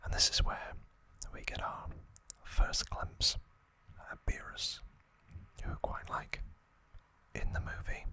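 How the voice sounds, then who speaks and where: A man hosting ASMR, whispering trivia in a breathy, hushed way, in short halting phrases. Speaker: ASMR host.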